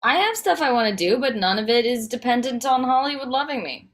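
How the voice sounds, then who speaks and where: A woman's voice: a little high, expressive, brisk, lightly acted. A woman playing a wry, teasing wife and mother in a table read of a TV pilot script. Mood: low-key.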